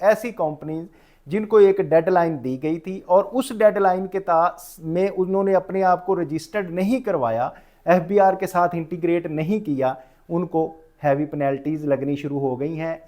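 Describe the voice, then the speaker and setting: A man's voice: fairly high pitch, a little mellow. A man with a Pakistani accent giving an informal explanatory lecture, mixing in English terms and restating his points in an instructive way. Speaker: tax professional giving a lecture.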